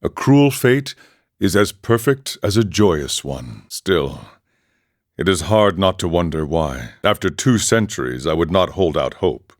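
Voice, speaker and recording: A serene, world-weary ascetic monk from a video game. The voice is very low and moves expressively, with measured, formal phrasing and a philosophical bent. The recording is dry and close. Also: male voice, sing-song, dry recording. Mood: wistful, philosophical. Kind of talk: video game character dialogue.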